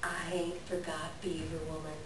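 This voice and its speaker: A woman's voice: sits low, little pitch movement, moderate pace, lightly acted. Woman playing a folksy scoundrel coyote, echoey room. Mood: bargaining. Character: sly, selfish coyote trickster, folksy scoundrel of animal folktale. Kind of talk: staged reader's theater. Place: live reading in a library room. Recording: echoey room, distant mic, background noise.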